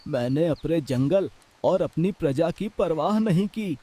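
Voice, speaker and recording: A man's voice: fairly high pitch, animated, unhurried, dark tone, slight background noise. A man voicing an earnest talking animal in a cartoon story. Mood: remorseful. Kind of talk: slow cartoon dialogue.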